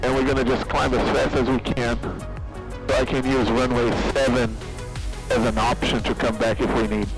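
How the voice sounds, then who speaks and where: A man's voice: medium pitch, little pitch movement, moderate pace, low-quality recording. A man from Brazil with a Brazilian accent, a pilot instructing and briefing in a small plane over a headset. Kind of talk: in-flight briefing and coaching. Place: small aerobatic plane cockpit. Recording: cockpit radio audio under loud music.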